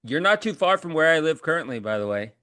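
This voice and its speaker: A man's voice: on the high side, sing-song, fast talker, sharp tone, dry recording. A man hosting an interview, asking questions in a casual, conversational style. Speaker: podcast host interviewer.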